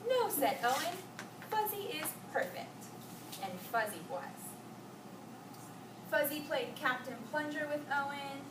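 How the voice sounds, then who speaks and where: High-voiced woman reading aloud, echoey room. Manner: expressive, pausing to ask and echo children's answers. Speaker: teacher reading aloud to children. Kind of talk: storybook read-aloud with questions to children. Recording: echoey, noisy room, distant mic.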